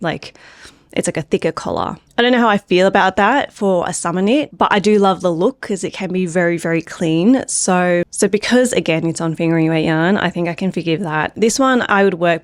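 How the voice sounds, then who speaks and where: A woman's voice: fairly low pitch, no echo, clean studio sound. A woman and knitwear designer chatting casually about knitting patterns, her throat a little phlegmy from a cold, rambling with many filler words. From Australia. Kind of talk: casual pattern review chat.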